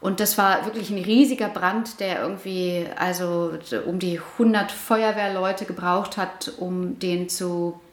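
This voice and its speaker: Iranian-born woman, 40s, thoughtful and halting, lots of filler, roomy echoey sound. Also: lowish voice, steady tone. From Iran. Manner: thoughtful, many fillers and restarts. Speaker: interviewee, housing project resident. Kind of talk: casual interview answers. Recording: roomy echo.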